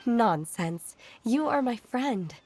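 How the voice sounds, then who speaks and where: A woman voicing a reserved young disciple in a video game, formal, measured and earnest. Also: fairly high pitch, lively intonation, a little mellow, slight background noise. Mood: earnest, inviting.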